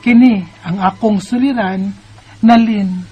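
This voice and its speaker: A woman's voice: mid-range voice, fairly even intonation, slow, warm tone, breathy edge. An actress with a Cebuano Filipino accent playing a troubled woman earnestly reading out her letter of questions in a radio drama. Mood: troubled, pleading. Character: troubled woman confiding her tangled love life. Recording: music under the voice. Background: background music.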